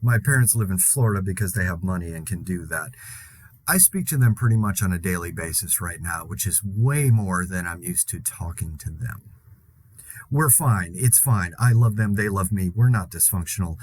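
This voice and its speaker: An actor plays an anxious man broadcasting alone through a zombie apocalypse in an audio drama. His voice sits fairly low. He talks in a conversational, confessional way, rambling and halting, with a dry sardonic edge. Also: lively intonation, husky. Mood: defensive, wry. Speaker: podcast host in a zombie-outbreak audio drama.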